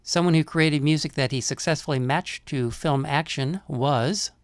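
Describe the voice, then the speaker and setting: A man narrating a scripted essay on film music in a measured, explanatory manner. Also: a little high, background noise.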